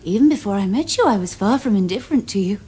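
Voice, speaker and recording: A woman's voice: mid-range voice, animated, quick pace, warm tone, faint music behind, lightly acted. A young woman with an upper-class English accent playing a poised, self-assured Victorian society lady in a period comedy, precise and affected. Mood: romantic ardour. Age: young adult. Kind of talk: period stage comedy acting.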